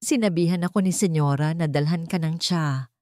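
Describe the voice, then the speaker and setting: Woman acting elderly female servant, audio drama villain, calm menace, bitter, close dry sound. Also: sits low, normal speed, no echo, close to an everyday voice. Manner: calm, cold delivery. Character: elderly housekeeper, cold, bitter, calmly menacing traitor.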